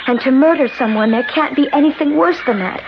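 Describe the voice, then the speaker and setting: An actress playing an anguished, desperate young woman in a 1970s radio drama, with breathless, halting, emotional delivery. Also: high voice. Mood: alarmed, pleading. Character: anguished, desperate young woman trapped among hated men. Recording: noisy, muffled old radio broadcast recording.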